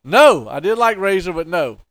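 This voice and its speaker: A man's voice: high voice, animated, normal speed, a bit bright, dry recording. A man co-hosting a podcast, chatting casually and making his points in an emphatic, teasing, opinionated way. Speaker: podcast co-host. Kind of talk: casual sports-entertainment debate chat.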